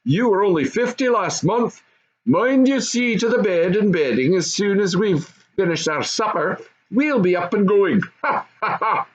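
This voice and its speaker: A man's voice: high voice, animated, a little slow, highs cut off, voice pushed up. A man voicing a gloating, bossy goblin father and husband, emphatic and knowing, in a storybook reading.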